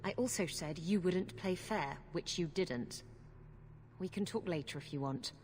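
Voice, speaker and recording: A woman's voice: on the low side, a little slow, slight background noise, natural voice. A woman playing a brash, unrepentant pirate captain in a video game, wry, flippant and defiant. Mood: firm. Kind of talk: video game character dialogue. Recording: loud music behind the voice.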